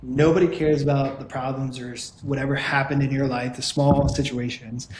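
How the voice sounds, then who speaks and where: A man hosting a casual interview, eager and quick to agree. His voice is mid-pitched, and the recording has a roomy echo with a faint hiss of background noise. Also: level tone. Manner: enthusiastic, many quick agreements. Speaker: podcast host.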